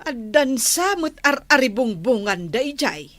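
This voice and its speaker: A woman's voice: high-pitched, big pitch swings, a little slow, fairly bright tone, no echo, exaggerated voice. A woman with a Filipino accent playing a nosy, insistent villager in a comedy radio drama.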